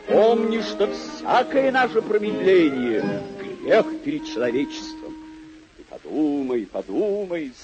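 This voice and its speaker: Pompous deluded knight, radio drama, high voice, very animated, theatrical, grandiose, hot-tempered. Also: male voice, full character voice, rough recording. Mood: lofty, urgent. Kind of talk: old radio drama acting.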